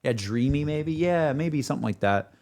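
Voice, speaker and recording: A man's voice: a little high, expressive, moderate pace, a little mellow. A man giving a casual, rambling livestream reaction, peppering his talk with filler words like a laid-back chat among friends. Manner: rambling, heavy use of "like" and "dude". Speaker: streamer reacting to game music.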